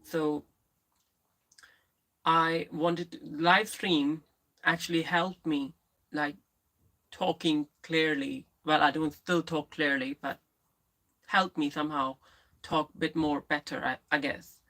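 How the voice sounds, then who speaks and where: A woman with a lisp who livestreams, chatting candidly and answering viewers in a rambling way with many restarts and pauses. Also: low-pitched, fairly even intonation, fairly bright tone. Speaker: live streamer. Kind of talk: casual live stream chat, answering viewers.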